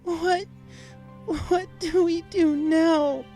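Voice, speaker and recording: Man doing a very high, anguished pegasus mother. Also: fairly even intonation, very slow, mellow, faint music behind, exaggerated voice. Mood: anguished sobbing. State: sobbing. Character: distraught pegasus mother, pleading and tearful. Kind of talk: storybook character voice.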